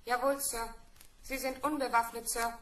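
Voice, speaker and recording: An obedient female robot played by a woman in an old sci-fi radio play. The voice is high and flat in melody, stiff and literal-minded, ringing with echo. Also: normal speed, natural voice. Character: dutiful, rule-bound female robot assistant aboard a spaceship. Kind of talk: vintage German sci-fi radio play acting.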